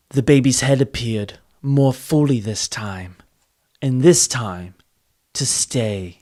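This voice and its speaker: Man narrating dark fantasy theatrically. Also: medium pitch. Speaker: audiobook narrator. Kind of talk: dramatic scripted fantasy narration.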